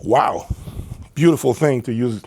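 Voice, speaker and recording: Emphatic man reviewing gear, mid-pitched voice. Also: unhurried, a little mellow, slightly rough. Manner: emphatic, repeats phrases for stress. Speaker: host of an audio equipment review channel. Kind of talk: casual product review talk to camera.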